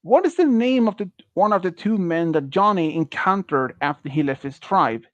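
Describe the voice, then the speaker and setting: A man in his early thirties, not a native English speaker, hosting a casual quiz on a stream and talking in a slow, halting way with many restarts. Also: sits high, animated, fairly bright tone, no echo, dull audio. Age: early 30s. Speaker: quiz host.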